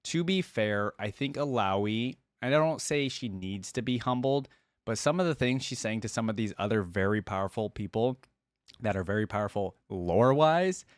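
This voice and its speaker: A man giving casual reaction commentary, musing and thinking aloud in a halting way. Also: lowish voice, animated, dry recording, clean studio sound. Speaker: reaction video host.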